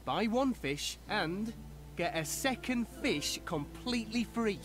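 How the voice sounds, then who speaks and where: A chatty, down-on-his-luck fishmonger in a video game, voiced a little higher than most men. He rattles off sales patter without pause. Game music plays clearly behind him. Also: expressive. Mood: salesy. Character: chatty hapless male fish stall owner.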